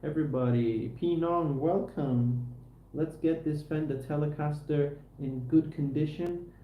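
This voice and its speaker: A man's voice: on the high side, fairly even intonation, measured pace, lo-fi sound. An easygoing man chatting casually to livestream viewers and to himself as he does hands-on work, in a tutorial style. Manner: easygoing, talks to himself and viewers while working. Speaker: livestreamer fixing a guitar. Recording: roomy echo, faint background noise.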